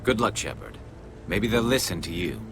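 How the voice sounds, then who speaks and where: A determined alien police investigator in a video game. The voice sits low and moves expressively with frustration, with clear ambient noise behind it. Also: male voice, expressive, normal speed. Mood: earnest, frustrated. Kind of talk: video game cutscene acting.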